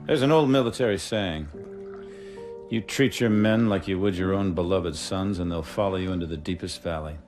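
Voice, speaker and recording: Deep-voiced older commander played by a man. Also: normal speed, over music, lightly acted. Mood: solemn, gracious. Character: commanding, fatherly older military company chief. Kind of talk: video game cutscene acting.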